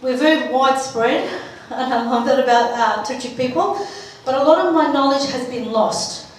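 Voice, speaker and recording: Woman from Turkey, higher voice, conference speaker, expressive and chatty, heartfelt informal delivery, very echoey room. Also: moderate pace. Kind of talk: informal personal presentation. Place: large echoey room at a live event. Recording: echoey hall, distant mic, faint noise.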